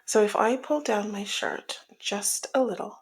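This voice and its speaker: A woman playing a teasing, dominant mob boss in an ASMR roleplay, with a breathy, husky voice that often slips into a whisper and a slyly menacing, sultry manner. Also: medium pitch, a little slow, poor audio. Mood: flirty, teasing. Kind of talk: ASMR roleplay audio drama.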